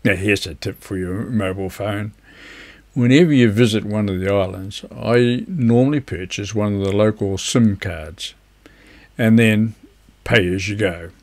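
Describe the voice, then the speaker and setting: Older kiwi guy in his 70s, bit of a low voice, explaining gear in a measured informal way. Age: older, around 70s. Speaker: amateur radio operator, video presenter. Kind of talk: informal instructional talk to camera. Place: indoors, seated before a virtual beach backdrop.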